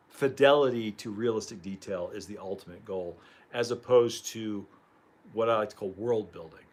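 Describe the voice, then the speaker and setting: A man hosting a casual livestream chat, rambling and chuckling, clearing his throat as he loses his voice. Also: fairly low pitch. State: losing his voice. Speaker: livestream host.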